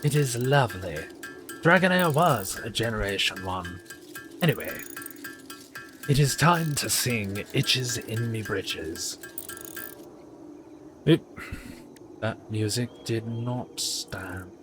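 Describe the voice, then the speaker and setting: A man's voice: mid-range voice, animated, takes it slowly, pauses often, over music. A male game streamer chatting casually on a live stream, playful and rambling, with a self-mocking streak.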